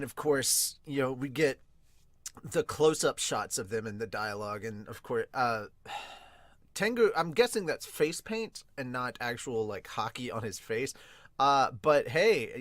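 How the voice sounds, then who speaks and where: A man hosting a casual manga reaction podcast, talking in an excitable, rambling way. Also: mid-range voice, dry recording. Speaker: podcast host and manga reviewer. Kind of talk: casual reaction commentary with read-aloud.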